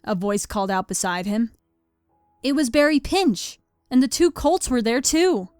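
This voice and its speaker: Female narrator, fairly high voice, scripted storybook read, dry recording with faint music. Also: sing-song, moderate pace, darkish tone, clear, resonant tone, dry recording.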